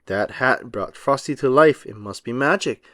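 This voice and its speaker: A man's voice: mid-range voice, animated, moderate pace, fairly bright tone, no echo, clean studio sound, close to an everyday voice. A man reading a children's storybook, voicing an earnest, caring little girl. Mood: insistent. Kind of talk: children's storybook read-aloud.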